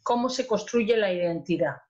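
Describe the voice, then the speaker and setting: A woman taking part in a literary discussion gives a slow, hesitant, appreciative comment. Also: mid-range voice, animated, darkish tone, highs cut off. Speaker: discussion participant.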